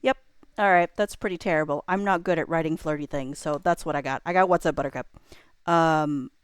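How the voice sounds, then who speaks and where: A woman's voice: low-pitched, a little fast, clear, resonant tone, dry recording, studio quality. A woman livestreamer chatting casually and thinking aloud, with a playful, self-deprecating and hesitant manner, muttering to herself as she works.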